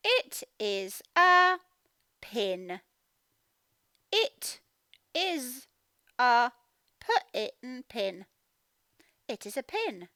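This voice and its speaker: Animated high-pitched woman teaching young children. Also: drawn-out words, pauses often, dry recording, studio quality. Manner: sounds out words, animated questioning of children. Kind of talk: interactive storybook read-aloud lesson.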